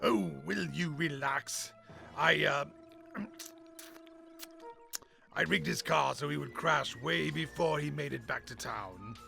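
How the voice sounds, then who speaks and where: A man's voice: on the high side, slow, creaky, pauses often, sharp tone, soft background music, full character voice. A man playing a blustering giant gorilla supervillain in a comic radio play, pompous and defensive.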